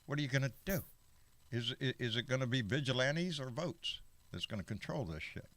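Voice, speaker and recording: A man's voice: mid-range voice, lively intonation, a little fast, fairly bright tone, no room sound. A man hosting a live stream talk show, brash and joking, swearing freely. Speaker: host.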